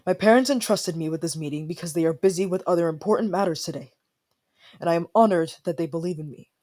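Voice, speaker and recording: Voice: mid-range voice, quick pace, darkish tone, close to an everyday voice. A performer playing a young, haughty ice prince in a fantasy audio roleplay, formal and indignant, easily flustered, with dramatic sighs. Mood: frustrated, anxious.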